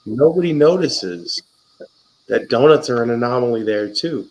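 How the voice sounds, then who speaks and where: A man's voice: mid-range voice, lively intonation, normal speed, a little mellow, rough recording. A man hosting an interview, chatty and joking, telling loose profane stories in a casual conversation. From Wisconsin. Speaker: interviewer, podcast host.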